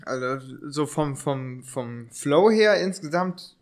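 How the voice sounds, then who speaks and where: A young man chats casually on a podcast. He feels his way through sentences, halting and repeating words. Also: on the high side, animated. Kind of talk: casual chat.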